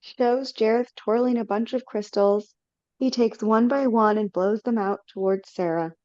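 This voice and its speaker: A woman's voice: fairly high pitch, unhurried, dark tone, no room sound. A woman narrating stage directions in a casual way for a live reading over a video call, with slips and self-corrections. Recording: dull lo-fi audio.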